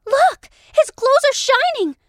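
A woman's voice: extremely high pitch, lively intonation, slow pace, no echo, studio quality, full character voice. A woman voicing a bold, playful, kind little girl in a children's storybook. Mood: startled, excited.

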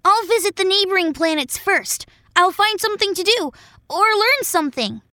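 A woman plays a little storybook boy prince with a very high, bright voice. He is innocent, gentle and earnest, childlike in every line. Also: expressive, moderate pace, no room sound, studio quality, exaggerated voice. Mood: polite, let down. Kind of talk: acted children's audio fairy tale.

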